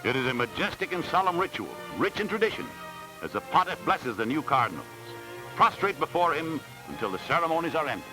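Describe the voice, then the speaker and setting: A man's voice: a little high, sing-song, a little fast, music in the background, rough recording. A man reading scripted 1940s newsreel narration in a formal, dramatic announcer style, on a vintage film soundtrack. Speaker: newsreel commentator.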